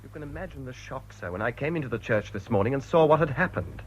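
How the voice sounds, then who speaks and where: An actor playing an earnest, agitated but deferential churchman with a husky voice in a radio drama. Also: mid-range voice, expressive, fast, lo-fi sound. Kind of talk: British radio drama acting.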